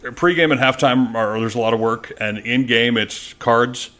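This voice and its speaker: Man, American accent, medium-pitched voice, casual podcast guest, conversational sports talk. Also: normal speed, fairly bright tone. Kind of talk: casual podcast interview chat.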